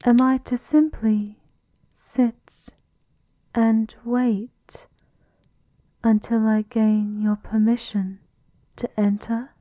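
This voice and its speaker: Woman acting a slow, devoted castle servant, slightly muffled. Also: a little high, little pitch movement, no room sound, pitched above the performer's own voice. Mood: patient, dutiful. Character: devoted, formal female castle attendant serving a countess. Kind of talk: ASMR roleplay acting.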